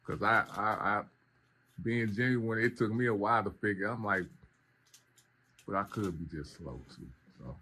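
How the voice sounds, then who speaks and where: A man's voice: on the low side, moderate pace, long pauses, pushed and bright. A man hosting a casual interview, speaking in an informal, slangy way. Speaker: interviewer, show host. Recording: noticeable background room noise.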